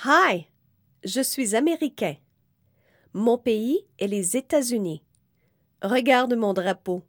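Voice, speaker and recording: Slow, careful woman reading to kids. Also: fairly high pitch, expressive, no echo, clean studio sound. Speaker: reader of a children's book. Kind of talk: slow, clear read-aloud for young learners.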